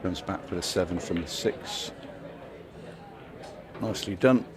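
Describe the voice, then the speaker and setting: A man giving brisk play-by-play commentary on a pool match, with dry asides. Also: low voice, crowd noise. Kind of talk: live sports commentary.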